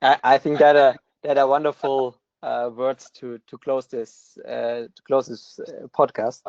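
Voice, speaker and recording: A man's voice: mid-range voice, flat delivery, unhurried, vocal fry, a little mellow, no room sound. German-accented man hosting, dry lo-fi sound. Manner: friendly, with filler "uh" and repeats. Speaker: podcast host. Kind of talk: casual interview talk.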